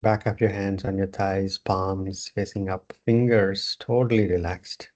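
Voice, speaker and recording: A man teaching yoga, guiding a meditation in short phrases with long pauses between them. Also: deep voice, little pitch movement, darkish tone. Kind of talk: guided meditation instruction.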